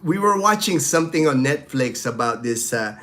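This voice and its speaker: A man's voice: medium pitch, expressive, normal speed, pushed and bright. A middle-aged man from the Philippines, a native Tagalog speaker, hosting a live stream and chatting casually through viewer questions with many fillers and restarts.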